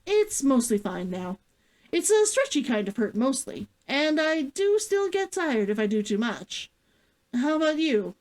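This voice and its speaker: A woman voicing a gruff but caring male hunter in a story, instructing in a measured, matter-of-fact way. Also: sits high, big pitch swings, darkish tone, pitched above the performer's own voice, low-quality recording. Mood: practical, caring. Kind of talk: fiction read-aloud character dialogue.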